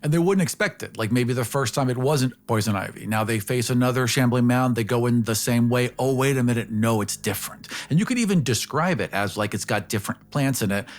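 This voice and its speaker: A man's voice: medium pitch, brisk, hardly any pauses. A man talking casually as one of the panelists in an informal discussion. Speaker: podcast panelist. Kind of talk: casual chat.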